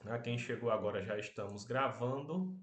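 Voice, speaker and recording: A Brazilian man teaching an online class, speaking softly because he has a cold and a sore throat. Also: mid-range voice, roomy sound. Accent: Brazilian Portuguese. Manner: speaks softly, slow and unhurried, many tag questions.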